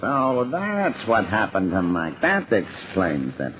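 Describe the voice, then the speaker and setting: A man's voice: lowish voice, wildly swooping pitch, takes it slowly, exaggerated voice. An actor playing a blunt, puzzled small-town Western man with an American accent in an old-time radio drama. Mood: rueful, bitter. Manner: folksy, blunt. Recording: muffled, noisy old radio recording.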